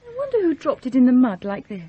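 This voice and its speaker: A woman's voice: sits high, wildly swooping pitch, fast, breathy edge, lo-fi sound, heavily acted. An actress playing a poised but insecure, romantic young upper-class Englishwoman in a radio play, hesitant and earnest. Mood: hesitant, wistful. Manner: hesitant, self-conscious, earnest.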